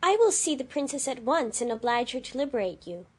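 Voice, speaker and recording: A young girl queen, acted by a woman, speaks in a sweet, high, melodic voice. She is courteous and dignified, with firm storybook poise. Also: big pitch swings, brisk, husky, full character voice. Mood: kindly. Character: gentle, dignified, self-assured girl ruler. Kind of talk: dramatized storybook acting.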